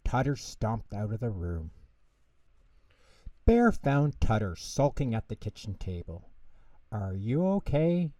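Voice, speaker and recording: Expressive man reading a bedtime story. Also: fairly low pitch, unhurried, pauses often, no echo, far from the mic. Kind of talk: scripted bedtime story narration.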